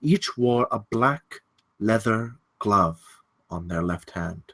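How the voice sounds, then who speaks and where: An actor playing a menacing, courteous gentleman villain in a script table read, telling his tale in deliberate, ornate, theatrical phrasing. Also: lowish voice, animated, full character voice. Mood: sly storytelling.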